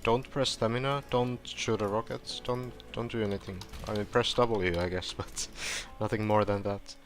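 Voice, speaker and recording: A man casually talking through a game walkthrough, relaxed and rambling with fillers, his voice going hoarse. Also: lowish voice, normal speed. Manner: relaxed, rambling, with frequent fillers and self-corrections. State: hoarse, clearing his throat. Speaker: gameplay tutorial streamer. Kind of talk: casual gameplay walkthrough commentary.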